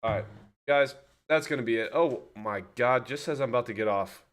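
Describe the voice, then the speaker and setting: A man's voice: medium pitch, a little fast. Man, livestream host, casual chat, rambling, talks to viewers. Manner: chatty, rambling, addresses viewers directly.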